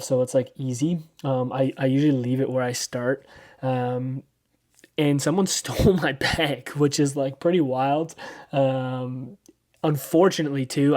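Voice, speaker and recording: A man of thirty giving a casual weekly running recap, relaxed and rambling with lots of ums. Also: mid-range voice. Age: 30s. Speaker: running vlogger.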